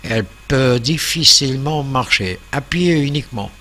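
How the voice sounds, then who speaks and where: A man's voice: medium pitch, expressive, normal speed, bright, forceful tone. Man from Portugal chatting as radio operator. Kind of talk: casual radio chat.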